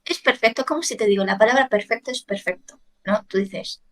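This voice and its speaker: Higher-voiced woman, native Chinese speaker. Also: brisk, fairly bright tone. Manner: thoughtful, explains with examples. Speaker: podcast co-host, language teacher. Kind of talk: casual conversational chat.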